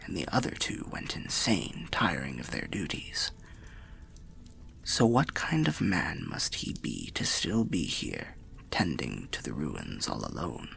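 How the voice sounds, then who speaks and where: A man playing a weary, wry undead storyteller in an ASMR fantasy roleplay, confiding in a breathy, gravelly half-whisper with old-fashioned phrasing. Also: extremely low pitch, fairly bright tone, heavily acted. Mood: confiding, wary.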